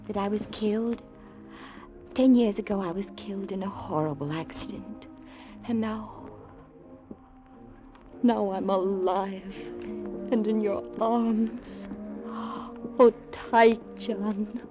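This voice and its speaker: Actress as a slow, clinging, melodramatic sweetheart. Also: female voice, mid-range voice, fairly even intonation, mellow, natural voice. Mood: yearning, pleading. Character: tender, clinging sweetheart, secretly a seductive Martian impostor. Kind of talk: 1950s radio drama acting. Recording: old radio recording, music behind. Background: music.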